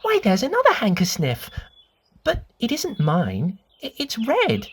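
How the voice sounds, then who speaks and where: A woman's voice: wildly swooping pitch, a little slow, breathy edge, heavily acted. A woman voicing a curious, outspoken little girl in a storybook, with childlike, questioning delivery. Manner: high, childlike questioning, plain-spoken.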